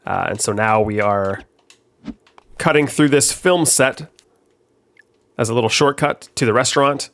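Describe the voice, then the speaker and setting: A man's voice: medium pitch, a little slow, long pauses, a bit bright, slight background noise. A young man with a stuffed-up voice from a head cold, casually explaining the game he made as he plays it, with frequent ums and uhs. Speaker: game developer. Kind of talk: gameplay commentary.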